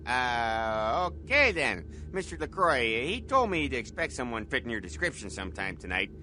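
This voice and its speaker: An actor playing a chummy, dim, eager night-shift security guard in a comic video game, bumbling and over-friendly. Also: male voice, medium pitch, big pitch swings, moderate pace, bright, forceful tone, slight background noise.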